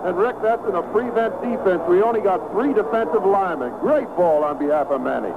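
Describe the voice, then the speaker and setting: A man's voice: high-pitched, animated, brisk. A man giving live football analysis as a color commentator on a radio broadcast. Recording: tinny, very noisy vintage radio broadcast.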